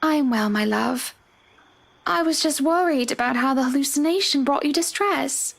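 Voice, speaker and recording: A man voicing an affectionate, composed, faintly eerie wife in a horror visual novel, gentle and formal in manner. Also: extremely high pitch, normal speed, vocal fry, voice pushed up, full character voice. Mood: doting.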